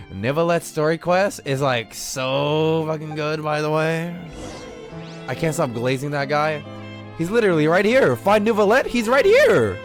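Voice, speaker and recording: Casting: male game streamer, animated pitch, rambling casual slang, faint music behind. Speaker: livestreamer. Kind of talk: casual gameplay commentary to chat.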